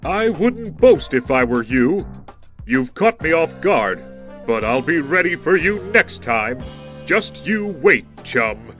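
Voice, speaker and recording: Wisecracking young superhero, male actor: very animated, unhurried, heroic and folksy, for a campy audio drama. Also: fairly high pitch, soft background music, heavily acted. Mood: defiant.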